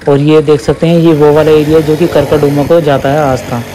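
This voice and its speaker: Casting: young Indian man, slightly high flat voice, casual road commentary, faint traffic noise. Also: brisk. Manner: chatty running commentary on the road. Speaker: motovlogger. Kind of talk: casual narration while riding a motorbike. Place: on a motorbike on city roads outdoors. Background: traffic and engine sounds.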